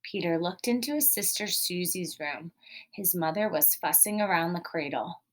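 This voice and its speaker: A woman reading a storybook aloud as a teacher, expressive and explanatory, putting guiding questions to young children. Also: on the low side, moderate pace.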